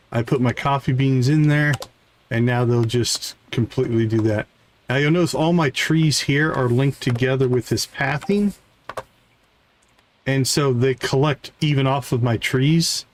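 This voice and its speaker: A man's voice: mid-range voice, slight background noise. A man hosting a game stream, casually explaining what is on screen and thinking aloud in an informal way. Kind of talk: casual live gameplay commentary, explaining as they play.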